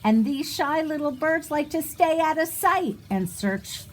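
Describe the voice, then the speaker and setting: A woman reading a rhyming picture book aloud to young children in an expressive, sing-song way, pausing for little asides. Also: sits high, slight background noise. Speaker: children's storybook reader.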